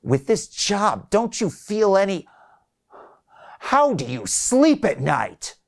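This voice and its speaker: Man acting out an indignant, halting older man. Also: high voice, wildly swooping pitch, creaky, voice pushed up, heavily acted. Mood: blustering, upset. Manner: blustering, halting. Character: indignant, desperate older man pleading with a bureaucrat. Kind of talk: acted short story dialogue.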